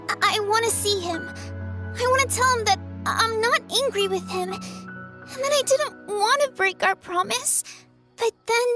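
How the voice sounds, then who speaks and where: Earnest, fragile child in a fantasy game; tiny high voice, unhurried, plaintive delivery. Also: female voice, full character voice. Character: sad, earnest young girl afraid of growing up. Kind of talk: anime game voice acting.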